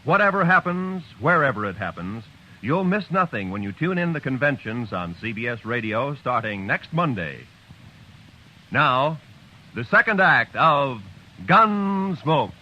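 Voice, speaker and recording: Man, American accent, vintage radio announcer, crisp forceful tone, animated pitch, muffled recording with slight noise. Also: a little slow.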